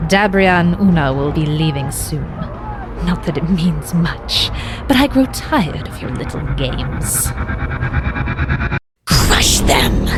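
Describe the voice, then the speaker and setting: A woman playing a cruel, haughty orc warlord commander in a fantasy audio drama, with an imperious, sneering delivery. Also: medium pitch. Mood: furious.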